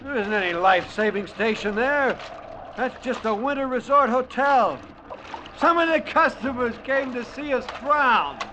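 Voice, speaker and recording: An actor playing a dutiful, dryly fatalistic shipwrecked sailor in an old radio drama, terse and sardonic. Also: male voice, very high-pitched, expressive, measured pace, sharp tone, full character voice. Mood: bitter. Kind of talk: 1940s-50s radio acting. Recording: dull old radio recording, faint noise.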